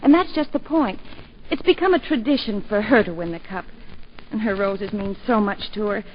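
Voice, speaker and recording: An actress playing a forthright young upper-class English woman in a 1940s radio drama, quick and stumbling over her words. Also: mid-range voice, slight background noise, natural voice. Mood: earnest. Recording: dull lo-fi 1940s radio broadcast.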